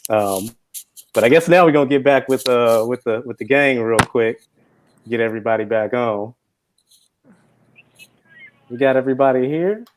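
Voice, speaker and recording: A man hosting a live Q&A as a film director, speaking informally and haltingly as he searches for words. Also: mid-range voice, slight background noise. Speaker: film director and event host. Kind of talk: casual live Q&A introductions.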